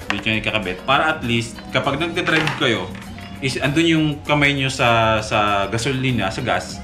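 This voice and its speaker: A young man casually walking viewers through installing a helmet intercom, often checking in with "okay?". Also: medium pitch. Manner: instructive, talks viewers through each step, frequent "okay?" checks. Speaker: moto vlogger demonstrating gear. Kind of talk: casual how-to walkthrough. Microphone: camera mic. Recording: background music. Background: background music.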